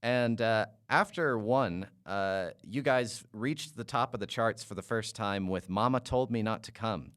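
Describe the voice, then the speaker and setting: A man hosting a casual music interview, phrasing his questions in a hesitant, halting way. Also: lowish voice, fairly bright tone, no room sound, studio quality. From Calgary, Canada. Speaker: interviewer, podcast host.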